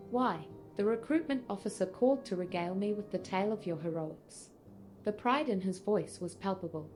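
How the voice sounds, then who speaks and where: A woman's voice: mid-range voice, darkish tone, lightly acted. A synthetic female voice playing a gracious, formal leader of an order in a fantasy game, speaking politely. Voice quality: synthetic text-to-speech delivery. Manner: polite, formal, archaic wording. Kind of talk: scripted fantasy game dialogue. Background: faint music.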